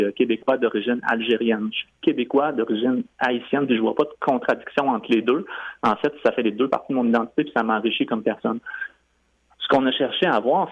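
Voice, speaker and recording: Quebec guy on a radio interview, husky creaky voice, dry studio sound, explains stuff step by step. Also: mid-range voice, no echo, low-quality recording. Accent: Quebec French. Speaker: guest, French-language commissioner.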